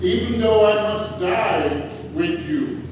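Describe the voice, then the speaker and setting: A man playing a staunchly loyal disciple in a church dramatic reading of scripture, earnest and deliberate. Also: high voice. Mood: earnest vow. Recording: very echoey church, distant mic, noisy.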